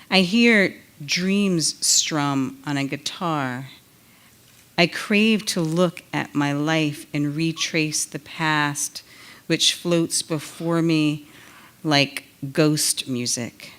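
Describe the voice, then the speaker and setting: A woman's voice: deep voice, drawn-out words, fairly bright tone. A woman in her forties reads poetry expressively on stage, close to a stand microphone. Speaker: literary event reader. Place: on stage in a theater.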